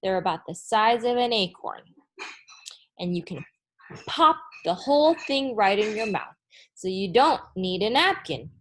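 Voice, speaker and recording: A woman's voice: mid-range voice, expressive, a little slow, a bit bright, a little hiss or hum. A woman reading a children's storybook as a candid, treat-loving woman teacher who is matter-of-fact about her laziness. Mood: relishing. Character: frank, lazy, treat-loving woman second-grade teacher. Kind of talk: children's storybook read-aloud.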